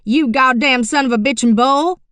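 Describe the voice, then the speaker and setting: A woman's voice: mid-range voice, normal speed, clean tone, no room sound, clean studio sound, close to an everyday voice. A woman narrating an audiobook, voicing a middle-aged rural man telling a tall tale in a drawling, folksy way. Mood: yarn-spinning. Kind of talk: audiobook character reading.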